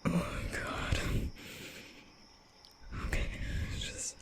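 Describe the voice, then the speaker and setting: Man acting a whispering, nervous young vampire. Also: a bit bright. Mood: anxious muttering. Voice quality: breathy whispering. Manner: halting, stammering, muttering to himself. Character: nervous, awkward, inept young male vampire. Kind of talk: ASMR roleplay audio drama.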